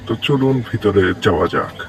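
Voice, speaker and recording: A man with a fairly low voice and a Bangladeshi accent narrates a walking travel vlog. He speaks to viewers as their guide, recorded outdoors a little way from the microphone. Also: expressive, brisk, far from the mic. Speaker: vlogger, tour guide to viewers. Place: outdoors, walking around grounds.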